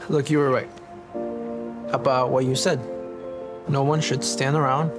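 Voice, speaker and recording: A young man acting as an earnest, calm street preacher in a dramatized film, gently and sincerely persuading. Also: medium pitch, measured pace, close to an everyday voice. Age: 20s. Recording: loud music under film dialogue.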